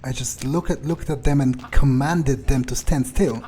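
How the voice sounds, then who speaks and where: A man's voice: medium pitch, moderate pace, mellow, slight background noise. A man playing a video game in character as a vampire, musing aloud in a smug, menacing, drawling way.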